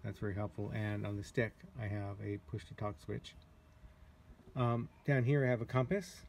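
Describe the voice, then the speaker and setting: Male hobby pilot, fairly low pitch, unpolished hesitant explainer delivery, handheld camera audio with clear background noise outdoors. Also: level tone, normal speed, darkish tone. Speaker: glider pilot and owner giving a tour. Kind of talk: casual walkthrough narration. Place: outdoors in an open glider cockpit in a driveway.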